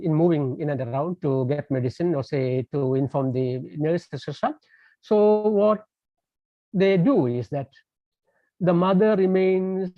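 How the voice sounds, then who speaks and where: A man's voice: fairly high pitch, no echo. A man whose first language is Tamil gives a lecture over a phone call, stopping often on fillers and false starts. The sound is muffled, with a narrow, lo-fi tone. The room around him sounds close and dry. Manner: hesitant, many fillers and restarts. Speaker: guest lecturer. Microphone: phone on a landline call.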